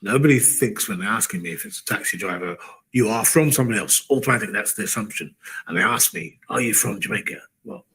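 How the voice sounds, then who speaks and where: A man with an English accent, answering an interview in a calm, measured way. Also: fairly low pitch, vocal fry, slightly rough, rough recording.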